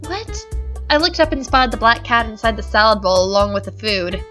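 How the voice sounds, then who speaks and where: A woman's voice: on the high side, expressive, normal speed, bright, forceful tone, soft background music. A woman hosting a let's play, reading game narration aloud and adding chatty, giggly commentary. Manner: chatty, giggly, reads narration then riffs.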